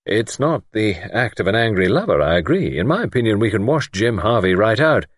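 A man voicing a wry, gently persistent gentleman investigator in an audiobook, asking patient, probing and direct questions. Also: on the low side, sing-song, a little fast, no echo, clean studio sound, pitched above the performer's own voice. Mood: decisive, probing. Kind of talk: audiobook character dialogue.

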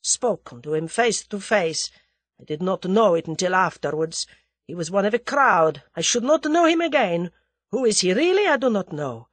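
A woman's voice: medium pitch, sing-song, normal speed, no room sound. A woman voicing a cowardly, venomous Russian aristocrat in formal, theatrical speech for an audiobook. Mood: fearful, sullen. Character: venomous, cowardly Russian aristocrat man, haughty then panicky.

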